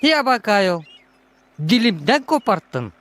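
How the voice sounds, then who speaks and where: A woman's voice: mid-range voice, sing-song, slow, a bit bright. A woman voicing a cheeky, teasing young animal with a tail in a cartoon, full of exclamations. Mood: cheeky, boastful. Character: cheeky tailed young animal who wants to conduct. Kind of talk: cartoon character acting.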